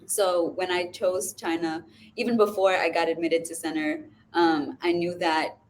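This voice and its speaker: Young woman student from New York, lowish voice, measured, some ums, faint hiss. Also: fairly even intonation. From New York, USA. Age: young adult. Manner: measured, pausing on um. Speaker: student panelist.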